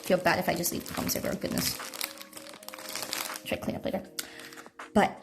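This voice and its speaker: A woman's voice: huge pitch swings, fairly quick, creaky, music in the background. A woman livestreamer chatting in a rambling, conversational way over a phone microphone in an echoey room. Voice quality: self-described deeper speaking voice. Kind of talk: casual livestream chat while eating snacks. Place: echoey indoor room at night.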